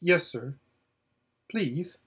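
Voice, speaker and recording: A man's voice: a little high, expressive, very slow, fairly bright tone, husky, heavily acted. A man voicing a young girl in a storybook, respectful, polite and tentative. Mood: hesitant.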